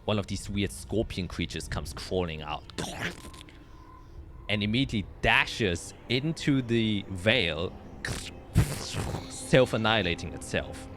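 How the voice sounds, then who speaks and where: A man narrating a tabletop roleplaying game as game master, with descriptive, dramatic storytelling and deliberate pauses. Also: fairly low pitch, expressive, over music.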